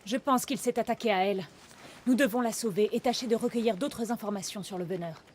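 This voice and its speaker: A woman's voice: mid-range voice, a little fast, darkish tone, slight background noise, lightly acted. A woman acting a determined young huntress in a dubbed video game, earnest and urgent. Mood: serious, resolute. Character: determined young huntress worried for her stubborn father.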